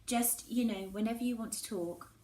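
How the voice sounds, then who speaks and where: A woman's voice: a little high, moderate pace, dark tone, pitched above the performer's own voice, natural voice. A woman voicing a worried, persistent schoolgirl in a storybook, with a coaxing, concerned manner. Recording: echoey room, faint background noise.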